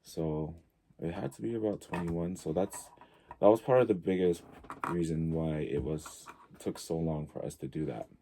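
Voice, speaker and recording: A man in his early forties from Tonga, talking casually and reflectively as a podcast guest, halting as he thinks aloud. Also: deep voice, mellow, a little hiss or hum. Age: early 40s.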